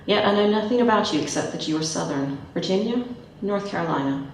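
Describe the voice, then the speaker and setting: A woman reading the part of a guarded young woman who asks direct questions, in her own low voice, recorded from far off in an echoey room. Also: animated, fairly quick. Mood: wary, probing. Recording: echoey room, mic far off, faint noise.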